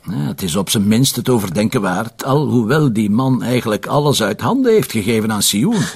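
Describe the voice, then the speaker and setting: An actor playing a confident, teasing Flemish police commissioner in a radio detective drama, with a raspy voice. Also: male voice, on the low side, big pitch swings, moderate pace, heavily acted. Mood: matter-of-fact.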